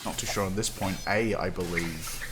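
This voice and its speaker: A man, not a native English speaker, giving relaxed, halting color commentary on a game stream with many pauses and ums. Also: deep voice, background noise. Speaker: esports co-caster.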